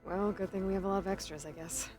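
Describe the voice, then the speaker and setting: A woman's voice: medium pitch, fairly even intonation, fast, music in the background, lightly acted. An actress voicing an earnest, direct young woman hunter in a video game.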